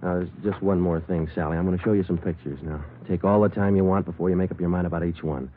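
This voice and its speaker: A man playing a terse, steady police sergeant in an old radio crime drama, clipped and deadpan. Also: sits low, quick pace, a little hiss or hum, natural voice. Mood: patient, steady. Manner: clipped, matter-of-fact, deadpan. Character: terse, steady police sergeant detective narrating his case. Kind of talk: 1950s radio crime drama acting and narration. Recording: muffled old radio recording with music.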